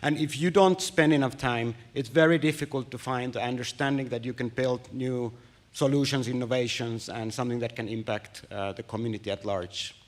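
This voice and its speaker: A man who grew up in Tanzania answers as a conference panelist in a medium-pitched voice. He talks things through, restarting sentences as he goes. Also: brisk. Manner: relaxed, thinking aloud with restarts. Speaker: panelist, university focus area lead.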